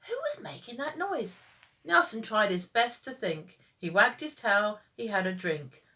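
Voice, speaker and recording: Female storytime teacher, high-pitched and very animated, reading rhyming children's tales with a sing-song lilt. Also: a little slow.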